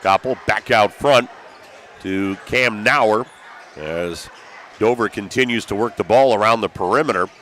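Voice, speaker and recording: A man's voice: fairly low pitch, measured pace, a bit bright. An American man doing live play-by-play radio commentary of a basketball game from courtside in a gymnasium. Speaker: play-by-play sports announcer. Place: courtside in a packed school gymnasium. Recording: gym background noise. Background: gym ambience, bouncing basketballs.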